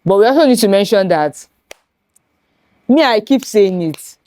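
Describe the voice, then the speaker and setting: A Nigerian woman leads a relaxed conversation among friends. She talks in an animated way at a middling pitch, with an accent from home. Her voice sounds close and dry, with no room sound. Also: measured pace, no echo. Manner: lively, animated, switching into Yoruba phrases. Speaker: host. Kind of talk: casual chat with friends.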